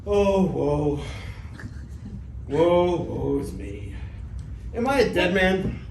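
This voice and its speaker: A man's voice: high-pitched, animated, drawn-out words, a little mellow, heavily acted. A man playing a woeful, bumbling old debtor turned pupil in a staged reading of an ancient comedy, lamenting theatrically. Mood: pleading, woeful. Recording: echoey room, distant mic, clear noise.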